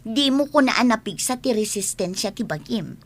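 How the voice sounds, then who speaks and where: An actress as a fretful, scoffing woman in a radio comedy drama. Her voice sits high and swings animatedly from note to note. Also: animated, moderate pace.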